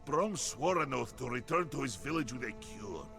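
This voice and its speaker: A man playing a stalwart, big-hearted warrior in a video game, earnest and hopeful, with a gravelly voice. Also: medium pitch, very animated, normal speed, over music. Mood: earnest, determined. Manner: speaks of himself in third person, earnest. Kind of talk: scripted video game acting.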